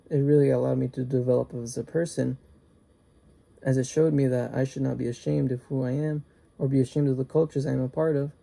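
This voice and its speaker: A young man giving an earnest class speech, halting at times and restarting his sentences. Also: medium pitch, gravelly, warm tone. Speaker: student.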